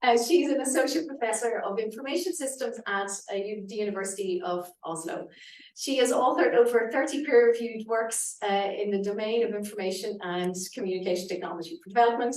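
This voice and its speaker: A woman chairing a conference, introducing the speakers with many pauses and fillers. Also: on the high side, darkish tone, far from the mic. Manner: halting, many fillers, reads bios. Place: conference hall. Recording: echoey hall from afar.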